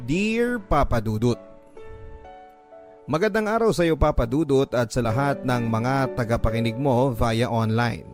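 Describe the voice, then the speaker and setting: A man's voice: medium pitch, a little mellow. A Filipino man reading listener letters as a radio host, in an earnest, sentimental, dramatic storytelling style. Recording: music behind the voice. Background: background music.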